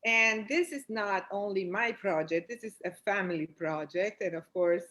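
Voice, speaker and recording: A woman from Venezuela speaks as a guest over a video call, presenting her work in an eager, friendly way. Her pitch sits in the middle for a woman, and the talk is easy and casual. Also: moderate pace, fairly bright tone. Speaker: author guest.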